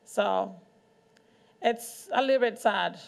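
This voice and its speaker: A woman with a Mexican accent sharing her own experiences at a community forum, haltingly and in search of words. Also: medium pitch, creaky. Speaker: community panelist, business owner. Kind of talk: personal testimony at a community forum.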